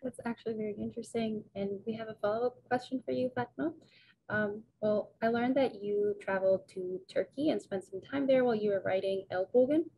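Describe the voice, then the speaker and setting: A woman's voice: a little high, a little fast, dark tone, a little hiss or hum, rough recording. A woman moderating a panel, politely asking questions with slight hesitation. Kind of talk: reading audience questions.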